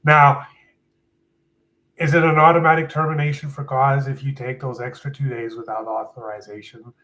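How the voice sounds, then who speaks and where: A man's voice: mid-range voice, level tone, moderate pace, a bit bright, poor audio. A man with a sniffly cold, giving conversational, explanatory legal advice to viewers as host of a live Q&A. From Canada. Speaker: employment lawyer hosting a live Q&A.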